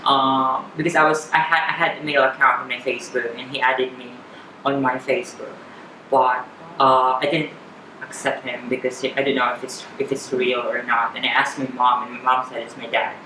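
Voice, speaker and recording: A woman in her thirties with a Filipino accent, chatting candidly as an interview guest, often halting and repeating words. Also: extremely low pitch, level tone. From Philippines. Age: 30s. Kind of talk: personal interview chat. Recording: echoey room with clear background noise.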